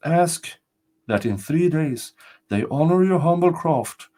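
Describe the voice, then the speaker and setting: A man voicing a calm, solemn female spirit from a storybook folktale, speaking in a measured way. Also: on the high side, pitched above the performer's own voice. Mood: reassuring.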